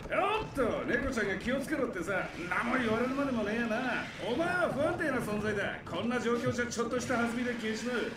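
An actor playing a cocky, chatty demon bird sidekick in a video game, with brash, teasing, slangy talk. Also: male voice, very high voice, lively intonation, fast, hardly any pauses, reverberant, recorded from a distance, noisy background. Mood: mocking. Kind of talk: dubbed video game cutscene acting.

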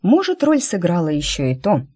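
A woman reading fiction as an audiobook narrator, in a conversational, expressive storytelling manner. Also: fairly low pitch, fairly quick, slightly rough. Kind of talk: scripted first-person fiction narration.

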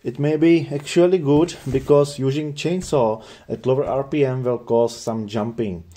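A man's voice: mid-range voice, fairly even intonation, normal speed, heavy background noise. A man narrating a scripted product review in a matter-of-fact way.

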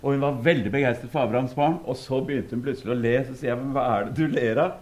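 A middle-aged Norwegian man talks from a theatre stage. His voice sits at an ordinary pitch, and he speaks like an actor sharing anecdotes in an animated way. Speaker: actor and playwright. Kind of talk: storytelling onstage talk.

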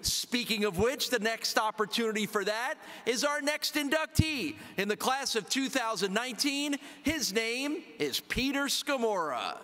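A man's voice: very high-pitched, lively intonation, unhurried, few pauses, music in the background. A middle-aged man emceeing an awards ceremony, making upbeat, lightly joking remarks before introducing the next person. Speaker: master of ceremonies. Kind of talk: emcee remarks between speeches. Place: large banquet hall, at a podium. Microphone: podium microphone. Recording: long hall reverb at a ceremony.

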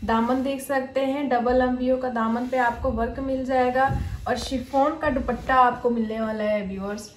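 Woman, high voice, shop sales presenter, promotional patter, echoey room, faint background noise. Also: moderate pace. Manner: steady promotional patter addressing viewers. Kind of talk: sales pitch showing suits.

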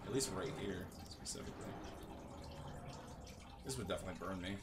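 A man's voice: sits low, brisk, very noisy. A man giving casual video game commentary, muttering offhand remarks as he plays. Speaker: gaming video host.

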